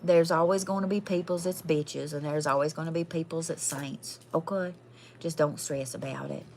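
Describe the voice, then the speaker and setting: A woman's voice: deep voice, fairly even intonation, normal speed, darkish tone, a little hiss or hum. A woman playing a sassy, foul-mouthed, folksy Southern woman with a twang, chatting casually as a livestream host. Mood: reassuring, blunt. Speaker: livestream host doing readings. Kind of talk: casual livestream chat in character.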